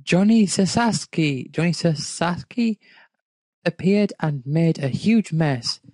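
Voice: medium pitch, expressive, slow pace, darkish tone, no room sound. A person reading fan fiction aloud and breaking off to question and poke fun at it off the cuff. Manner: breaks off to question and mock the text. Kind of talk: amused read-aloud with off-the-cuff commentary.

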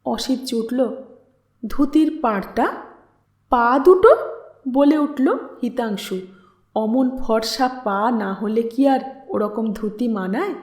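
Woman, high voice, dramatic literary storytelling, echoey room. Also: takes it slowly, pauses often, darkish tone. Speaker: audiobook narrator. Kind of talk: expressive literary story narration. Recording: roomy echo on the voice.

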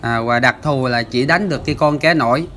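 A man's voice: mid-range voice, a little fast, a bit bright. A man hosting a fishing channel, explaining the footage in a chatty voice-over with lots of filler sounds. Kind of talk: casual voice-over commentary.

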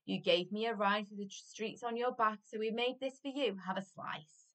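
A woman's voice: medium pitch, expressive, a little slow, fairly bright tone, far from the mic, voice pushed up, rough recording. A woman voicing small children in a storybook, sweet and eager, pleading emphatically. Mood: admiring.

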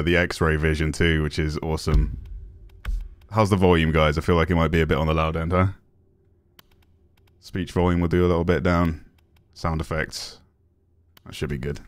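A young man with a deep voice giving casual gameplay commentary as a streamer, relaxed with excited asides. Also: normal speed, pauses often, faint music behind. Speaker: gaming streamer.

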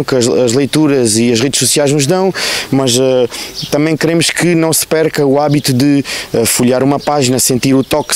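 A man's voice: medium pitch, a little mellow, slight background noise. Hesitant Portuguese man in outdoor interview. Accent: European Portuguese. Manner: hesitant, many fillers and restarts. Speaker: councillor for culture. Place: outdoors in a sunny park. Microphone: handheld reporter mic.

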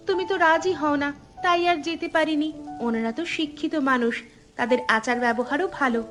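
A woman acts as an outspoken, hardworking young wife in a cartoon. Her voice is very high and insistent, over faint music. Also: a bit bright. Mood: persuading. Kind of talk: cartoon story voice acting.

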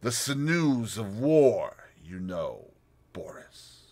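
A man voicing a calm, scornful scheming society woman who speaks slowly and in a measured way. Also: on the low side, full character voice.

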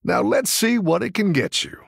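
An actor playing a friendly, encouraging tavern keeper who looks after little dragons in a video game, with a warm, folksy manner and a gravelly, husky voice. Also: male voice, sits high, sing-song, normal speed, no room sound, clean studio sound, heavily acted. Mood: cheerful, encouraging. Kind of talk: game character voice lines.

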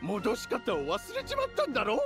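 Fussy polite young squirrel guy for a game, super swoopy pitch, music behind. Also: huge pitch swings, brisk, gravelly. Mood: exasperated. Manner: polite to guests, exasperated with mate. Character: fussy, polite young origami squirrel worker scolding friend. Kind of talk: animated game voice acting.

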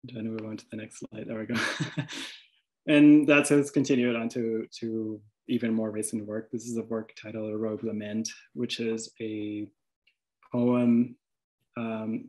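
A man's voice: on the low side, level tone, unhurried, darkish tone, poor audio. A man from Venezuela with a Venezuelan accent, an artist presenting his work over slides, hesitant, with many restarts and fillers.

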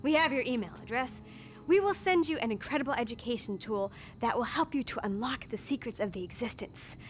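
An actress playing a serene, evasive cult recruiter in a satirical video game, speaking in a solemn, cryptic, rehearsed way. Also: female voice, fairly high pitch, lively intonation, brisk, slight background noise, close to an everyday voice. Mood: sales pitch.

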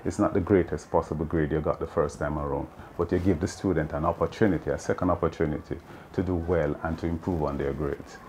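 Jamaican math teacher in his fifties, very low voice, earnest and explanatory, light background noise outdoors. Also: fairly even intonation, fast, few pauses, darkish tone. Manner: earnest, explaining with many "you know"s. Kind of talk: interview answers. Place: open veranda outdoors.

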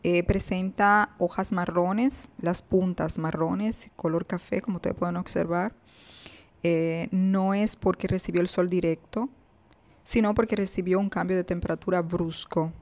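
A woman with a low-pitched voice talks through a casual gardening vlog. She speaks to her viewers as she films her plants close-up on a phone outdoors on a balcony, pointing things out one by one. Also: fairly even intonation, measured pace, darkish tone. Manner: chatty, addresses viewers directly, points things out. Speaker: gardening vlogger.

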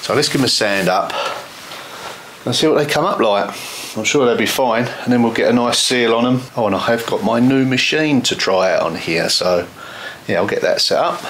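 A man's voice: on the low side, a bit bright, slight background noise. Chatty man walking through a renovation. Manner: chatty, explains plans step by step with fillers. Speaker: vlogger renovating a stone cottage. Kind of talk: casual walkthrough vlog. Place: inside a cluttered stone outbuilding.

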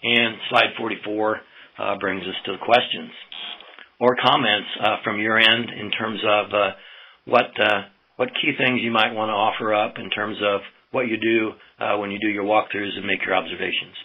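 An American man giving an informal slide lecture to farmers as a presenter, in a folksy, halting way. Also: lowish voice, steady tone, breathy edge, phone-quality sound. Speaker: presenter, swine extension specialist.

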